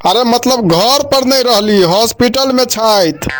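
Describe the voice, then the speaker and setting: A man's voice: extremely high pitch, unhurried, over music. A man with a Maithili accent from Bihar voicing an indignant chief minister in a comic cartoon. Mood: aggrieved.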